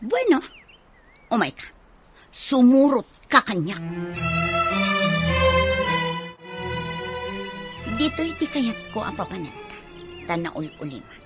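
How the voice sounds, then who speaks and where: A woman's voice: fairly high pitch, very animated, normal speed, over music. A woman with a Filipino accent playing a suspicious, scornful, jealous wife in a radio drama.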